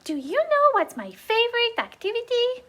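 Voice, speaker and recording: A woman's voice: very high-pitched, wildly swooping pitch, normal speed, pushed and bright, heavily acted. A woman voicing an eager, gushing piglet puppet who loves mud.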